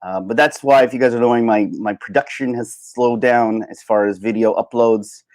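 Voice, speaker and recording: A man hosting a solo livestream in casual chat, rambling and halting as he thinks aloud. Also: medium pitch, steady tone, a little mellow. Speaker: livestream host.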